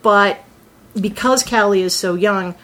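A woman talking casually in a round-table discussion, halting as she thinks her way through explanations. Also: medium pitch, pushed and bright. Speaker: voice actor and writer. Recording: noisy group room recording.

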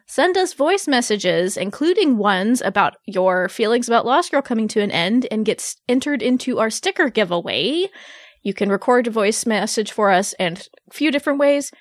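A woman's voice: medium pitch, no room sound, clean studio sound. A woman hosting a podcast in a casual, chatty and teasing way. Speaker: podcast host.

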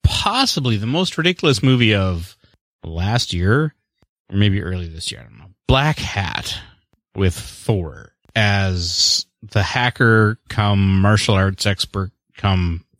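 A man's voice: lowish voice, animated, takes it slowly, a bit bright, no room sound. A man co-hosting a podcast, chatting casually in a rambling, wry way about movies and books. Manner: rambling, wry, self-deprecating. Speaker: podcast co-host. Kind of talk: casual chat.

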